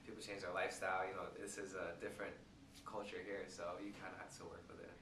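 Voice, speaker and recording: A man with a very deep but bright voice talks fast and scatters "you know" through his answers. He sounds distant from the mic in an echoing space with a low rumble. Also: little pitch movement. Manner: fast, filler-heavy. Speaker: interviewee. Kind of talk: casual interview answers.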